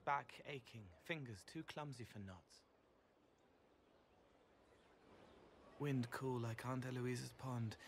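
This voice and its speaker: A man voicing a gentle, otherworldly young male spirit in a video game, speaking in fragmented, poetic, halting phrases. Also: mid-range voice, a little hiss or hum, close to an everyday voice. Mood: absorbed, dreamy. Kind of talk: dramatic video game voice acting.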